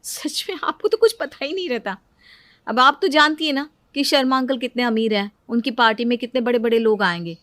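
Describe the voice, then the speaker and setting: A woman's voice: fairly high pitch, a little fast, fairly bright tone, no room sound, studio quality, lightly acted. A woman voicing a put-upon, envious elder daughter-in-law in a cartoon story, plaintive and pointed. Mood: eager, nagging. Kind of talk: Hindi cartoon story dialogue.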